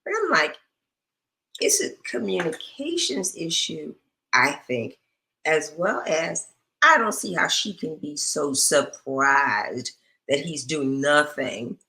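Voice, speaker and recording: A woman's voice: sits low, animated, slow, gravelly, bright, forceful tone. A middle-aged woman co-hosting a marriage advice show, thinking aloud with restarts while her voice fades from allergies and sniffles.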